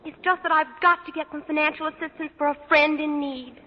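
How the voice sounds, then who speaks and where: A woman's voice: high-pitched, fairly even intonation, quick pace, lightly acted. An actress playing an earnest, gushing, flustered teenage schoolgirl in a vintage radio sitcom. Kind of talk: 1940s radio sitcom acting. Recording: dull, echoing vintage radio recording, studio audience.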